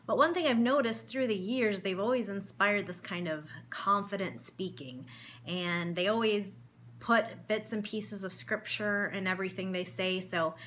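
Brief: woman in her forties with a slight cold, bright clear voice, earnest and measured, talking straight to camera at home. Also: medium pitch, a little hiss or hum. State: has a cold. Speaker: mother speaking to her daughter. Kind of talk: personal video message, reading an article aloud. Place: home room in front of window blinds.

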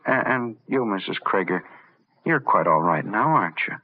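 An actor playing a smug, power-mad little man with mock-polite, halting speech in an old radio horror drama. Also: low voice, expressive, phone-quality sound, a little hiss or hum. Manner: mock-polite, halting, taunting tag questions. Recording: band-limited vintage radio drama recording.

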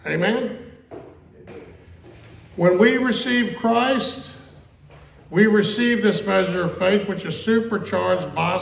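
Gravelly high-voiced male preacher, echoey church. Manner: conversational preaching, repeats questions, quotes scripture slowly. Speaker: pastor. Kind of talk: sermon. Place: church sanctuary.

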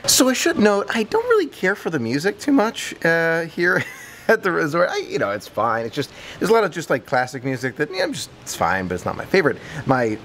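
A man's voice: a little high, wildly swooping pitch, a little fast, fairly bright tone. A man in his thirties giving an enthusiastic walk-and-talk tour for a vlog, repeating words for emphasis, recorded on a camera held at arm's length. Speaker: vlogger. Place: resort lobbies and covered walkways at night. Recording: clearly noisy handheld on-location recording.

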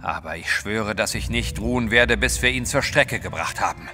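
An actor playing a composed, resolute gentleman adventurer in an audio drama, speaking with emphatic solemnity. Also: lowish voice, moderate pace, in a large hall, over music, lightly acted. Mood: grimly determined. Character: resolute, level-headed gentleman adventurer hero.

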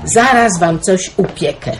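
An actress playing a bustling, plain-spoken country hostess in a radio drama, homely and chatty. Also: female voice, on the low side, unhurried, slight background noise, natural voice. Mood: hospitable, bustling.

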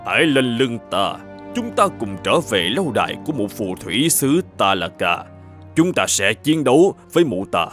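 Proud ancient eagle, animated delivery. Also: male voice, medium pitch, moderate pace, soft background music. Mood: commanding. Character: proud thousand-year-old male magic eagle, commanding. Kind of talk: children's cartoon fairy-tale dubbing.

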